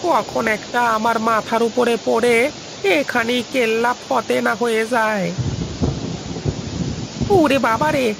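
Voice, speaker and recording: Woman doing a creaky, fretful village manservant. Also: on the high side. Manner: exclamatory, whining, rustic. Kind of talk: Bengali cartoon voice acting. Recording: loud rushing rain-like ambient noise.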